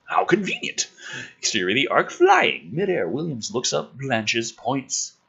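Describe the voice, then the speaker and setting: A man with a raspy voice reading a script aloud dramatically, mixed with casual, sardonic, sweary commentary. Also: medium pitch, wildly swooping pitch, measured pace, fairly bright tone, lo-fi sound. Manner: sardonic, sweary, exasperated asides. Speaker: host reading and critiquing a film script draft.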